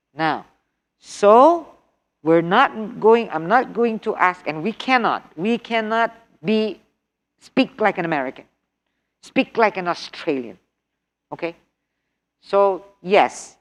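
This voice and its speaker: A middle-aged woman with an Indonesian accent teaching an online TOEFL workshop, switching between English and Indonesian, quizzing her class and repeating key words. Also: medium pitch. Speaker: TOEFL instructor.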